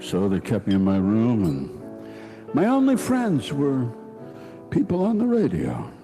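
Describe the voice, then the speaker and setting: Slow, wistful radio storyteller. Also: male voice, mid-range voice, wildly swooping pitch, music in the background. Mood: wistful, deadpan. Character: wistful man recalling a lonely radio-loving boyhood. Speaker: storyteller host of a radio show. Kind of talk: comic scripted old-time radio storytelling.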